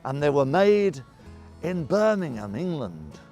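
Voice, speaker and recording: A middle-aged man telling local history as a town crier, in a jovial, hammy, exclamatory manner full of rhetorical questions and dramatic pauses. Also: fairly high pitch, sing-song, a little mellow, faint music behind. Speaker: town crier storyteller and guide. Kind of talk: theatrical historical storytelling to camera.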